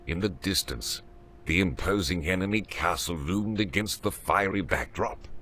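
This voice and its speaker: A man's voice: sits low, normal speed, fairly bright tone, slight background noise. A man delivering scripted narration for a fantasy game story. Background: music.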